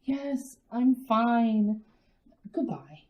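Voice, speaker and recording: A woman's voice: a little high, expressive, very slow, heavy background noise. Worried mother, stammering, played by a woman, dull lo-fi radio-play sound. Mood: nervous. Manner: stammering, nervous. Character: anxious suburban mother. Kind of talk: radio drama acting.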